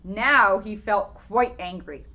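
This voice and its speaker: A woman's voice: mid-range voice, takes it slowly, bright, forceful tone, dull audio. A woman reading a children's storybook aloud with playful, dramatic emphasis and casual asides.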